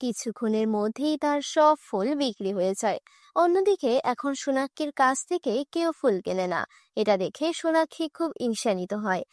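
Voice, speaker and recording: A woman's voice: on the high side, expressive, moderate pace, darkish tone, no room sound, clean studio sound. A woman narrator reading a scripted children's fairy tale. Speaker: storyteller narrator.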